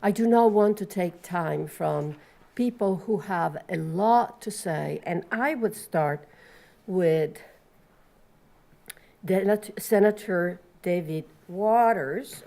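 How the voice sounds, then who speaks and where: A woman with an Italian accent, a professor moderating a panel and introducing speakers in an earnest, measured way. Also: lowish voice, gravelly, warm tone.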